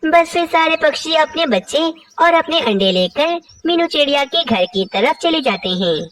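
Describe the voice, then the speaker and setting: A woman's voice: sits high, animated, normal speed, a bit bright, a little hiss or hum. A woman narrating a scripted children's story, recorded at a distance from the mic.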